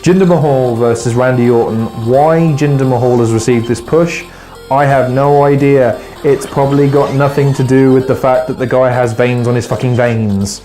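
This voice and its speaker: A man's voice: mid-range voice, steady tone, moderate pace, warm tone. Guy doing a solo podcast, sweary, rambly, lots of ums, faint music behind. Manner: swearing, rambling, with fillers and trailing restarts. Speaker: podcast host.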